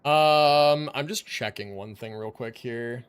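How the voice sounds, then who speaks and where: A man's voice: medium pitch, takes it slowly. A man hosting a gaming livestream, chatting casually in a slangy, rambling way with some swearing. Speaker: livestream host. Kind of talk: casual gaming stream chat. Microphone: streaming microphone.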